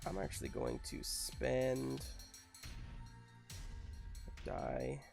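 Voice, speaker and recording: A woman game master narrating a live tabletop roleplaying game, thinking aloud in a halting way. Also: extremely low pitch, very animated, creaky, a little mellow, faint music behind. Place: live online game stream.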